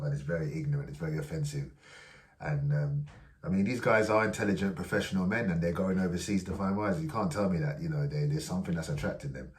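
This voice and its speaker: A man hosting a vlog, commenting casually with hesitant pauses and many fillers. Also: extremely low pitch. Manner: hesitant, many ums and restarts. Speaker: vlog host reacting to clips. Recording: echoey room, voice from far off.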